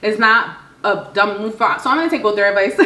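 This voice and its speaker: A woman vlogger telling stories in a casual, slangy, animated way, often acting out what people said in dialogue. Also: mid-range voice, a little fast, a little hiss or hum. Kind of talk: casual storytime chat. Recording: roomy echo.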